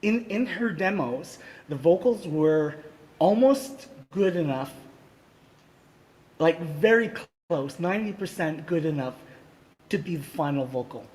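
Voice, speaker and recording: A middle-aged man hosting a casual live conversation, halting and often repeating his words as he goes. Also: a little high, lively intonation, darkish tone. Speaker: podcast host and music producer. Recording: reverb-drenched live stream, clear background noise.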